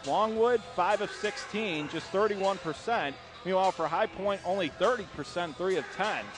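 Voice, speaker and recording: Guy doing radio basketball play by play, high voice, animated, short punchy phrases. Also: normal speed, music in the background. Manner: animated, calls action in clipped bursts. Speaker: play-by-play commentator. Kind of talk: live basketball radio commentary. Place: indoor basketball arena.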